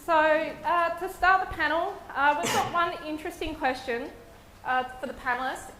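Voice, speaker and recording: An Australian woman moderating a formal panel, polite and measured with frequent fillers. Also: high voice, bright, forceful tone, a little hiss or hum. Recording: echoey hall, distant mic.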